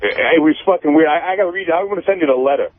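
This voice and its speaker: A man's voice: fairly high pitch, racing, no echo. A man phoning into a radio show, chatting casually with lots of swearing, stammering and defensive. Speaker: phone-in guest and former show staffer. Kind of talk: casual profane chat. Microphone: phone. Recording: tinny, narrow phone line.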